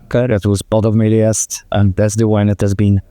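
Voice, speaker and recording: A middle-aged man with a French accent speaks in a low, mellow voice, pausing often with "uh" as he searches for words in English. The sound is dry and close, with no room around it. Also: monotone, no room sound. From France. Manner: hesitant, many "uh" fillers. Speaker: company president, guest. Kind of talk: interview conversation.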